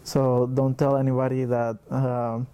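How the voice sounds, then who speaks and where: Man in his 20s from el salvador, spanish first language, soft and reflective, warm darkish tone. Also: medium pitch, level tone, unhurried, smooth. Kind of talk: interview.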